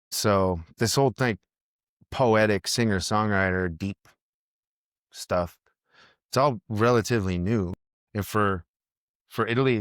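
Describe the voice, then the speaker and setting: Brief: man, musician guest, low voice, rambling and restarting, dry close sound. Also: dry recording. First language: English. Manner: rambling, thinks aloud with many restarts. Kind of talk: casual podcast conversation.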